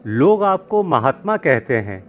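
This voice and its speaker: A man's voice: mid-range voice, sing-song, a little slow. A man voicing a distressed, complaining farmer in an acted moral story, pleading and dramatic. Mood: disappointed, accusing. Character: distressed, complaining farmer seeking a holy man's help. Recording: muffled lo-fi with music behind.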